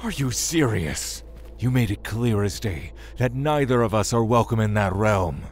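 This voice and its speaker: An actor playing a brooding, stoic god of death in an audio drama, speaking deliberately. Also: male voice, fairly low pitch, animated, slight background noise. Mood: angry, bitter.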